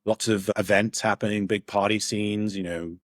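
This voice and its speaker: A man's voice: lowish voice, steady tone, normal speed, dry recording, studio quality. A young man from Hong Kong, a podcast guest, tells his life story in a rambling, reflective way with many restarts. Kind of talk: casual storytelling interview.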